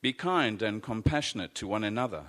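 Male scripture reader, lowish voice, deliberate delivery, long church reverb. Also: animated, a bit bright. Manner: measured, deliberate reading. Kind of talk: scripture reading. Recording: echoey church hall.

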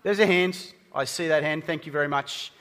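Bright-voiced Australian preacher man. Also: fairly high pitch, brisk. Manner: conversational, chatty with the congregation. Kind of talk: sermon. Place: church hall. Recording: reverberant church hall.

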